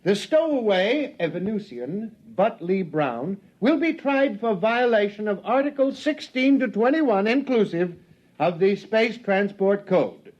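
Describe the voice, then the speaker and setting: Brief: flustered, pompous captain, stammers, 1950s radio play, lively pitch. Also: male voice, unhurried. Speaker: ship captain presiding at hearing. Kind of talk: 1950s radio drama acting. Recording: dull old radio broadcast.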